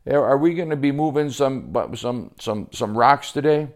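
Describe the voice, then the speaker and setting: A man's voice: mid-range voice, moderate pace, a little mellow, pitched above the performer's own voice, natural voice. A man voicing an eager, timid young boy in a story, hesitant and stammering over his words. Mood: eager, unsure. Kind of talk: storytelling character voice.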